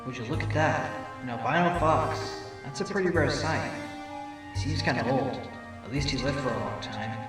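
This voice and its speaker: A man's voice: mid-range voice, normal speed, close to an everyday voice. Radio-play fox attorney male actor: wry, musing, distant voice with long reverb. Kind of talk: audio drama acting with inner monologue. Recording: very echoey, distant voice with music.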